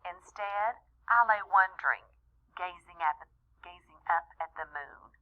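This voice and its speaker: A woman reading a rhyming children's story aloud in an expressive, deliberate way, as a storyteller talking to young listeners. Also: on the low side, narrow, tinny audio. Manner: animated, deliberate, with small asides to young listeners.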